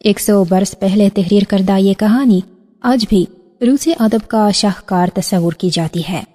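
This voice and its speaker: A woman's voice: medium pitch, a little slow, dark tone. A woman announcer giving a formal, scripted introduction to a radio drama. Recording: archival radio, long reverb, faint music.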